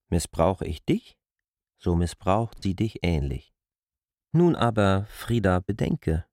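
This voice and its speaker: A man acting a self-defending lover in a literary radio drama, arguing deliberately and persuasively. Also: medium pitch, a little mellow, no echo, studio quality. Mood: defensive, wry. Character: K., calculating lover coolly defending himself.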